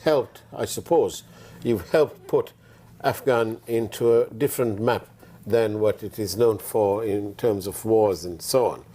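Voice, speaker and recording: A man from Sri Lanka hosting a studio interview, speaking haltingly with many fillers and restarts. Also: lowish voice, mellow. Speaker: talk show host.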